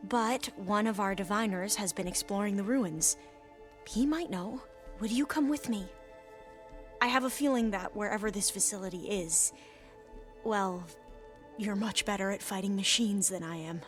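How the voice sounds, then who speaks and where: A woman's voice: medium pitch, unhurried, soft background music, close to an everyday voice. Earnest young woman scholar, played by an actress. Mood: hopeful, grateful. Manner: formal, careful, earnest. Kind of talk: video game cutscene acting.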